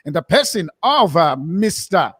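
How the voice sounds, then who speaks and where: A man's voice: a little high, big pitch swings, a little slow, slightly rough, dry recording, clean studio sound. A man hosting a live online interview, informal and stumbling, often repeating words as he searches for what to say. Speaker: host.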